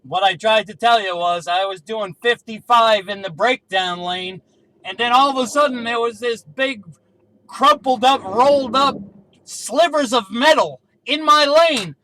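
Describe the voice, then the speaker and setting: A man with a high-pitched, bright voice works a CB radio. He talks in casual two-way radio style, checking in as an operator would. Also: a little slow, low-quality recording. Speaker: CB radio operator testing range from a car.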